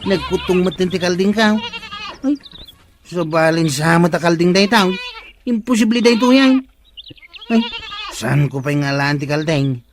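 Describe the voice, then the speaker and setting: An earnest Ilocano goat owner in a radio comedy drama. His voice sits slightly high, and he talks in a plaintive, insistent way like someone with a grievance to air. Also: expressive, unhurried, pauses often, faint music behind. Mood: baffled, upset. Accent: Ilocano Filipino. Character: aggrieved earnest goat-owning villager, respectful nephew.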